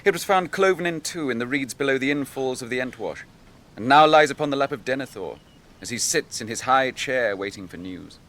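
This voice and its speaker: An actor playing a grave, noble young captain grieving his brother, in measured, archaic speech for a fantasy radio drama. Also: medium pitch, lively intonation, fairly bright tone, slight background noise, natural voice. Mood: grieving, brooding.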